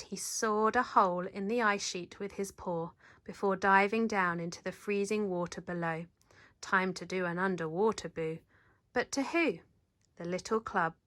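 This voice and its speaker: A woman reading a children's picture book aloud in an animated, dramatic storyteller's manner. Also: on the low side, dry recording, clean studio sound. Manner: animated, dramatic, leans into sound words. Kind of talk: expressive read-aloud narration.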